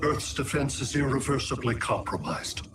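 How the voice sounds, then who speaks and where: A man's voice: mid-range voice, animated, normal speed, lightly acted. A man playing a cold alien conqueror in a game cutscene, formal and imperious, distant amid noisy ambience. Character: cold male alien machine-like conqueror commanding an invasion. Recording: distant, loud engine-like ambience.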